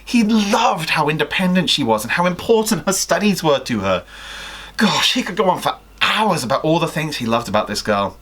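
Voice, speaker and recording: A man's voice: a little high, big pitch swings, normal speed, fairly bright tone, breathy edge. A young adult man reading a story aloud in a theatrical way, acting out the dialogue. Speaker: guest reader of listener fan fiction. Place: small attic bedroom, indoors.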